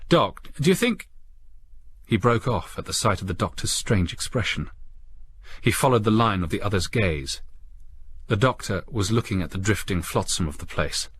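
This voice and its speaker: Deep-voiced male sci-fi story narrator. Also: moderate pace, fairly bright tone, slight background noise. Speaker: audiobook narrator. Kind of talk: dramatic scripted story narration.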